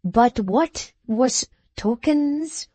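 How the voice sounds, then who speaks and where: A woman voicing a courteous foreign gentleman who is patient and confused, using bits of French. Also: a little high, expressive, takes it slowly, dry recording, pitched above the performer's own voice. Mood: puzzled. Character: polite puzzled foreign gentleman with French phrases.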